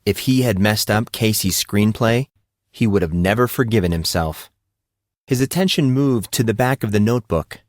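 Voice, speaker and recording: Guy reading a story audiobook, lowish voice, really dry sound. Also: normal speed, no echo. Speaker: audiobook narrator. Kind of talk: scripted story narration.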